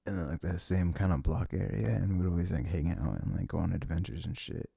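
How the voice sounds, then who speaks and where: Male, very low and gravelly, for intimate ASMR rambling; halting, informal, sweary delivery. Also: warm tone, dry recording, clean studio sound. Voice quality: low, husky, creaky voice. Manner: sniffles habitually. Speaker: ASMR audio performer. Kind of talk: intimate rambling ASMR chat, reminiscing to a listener.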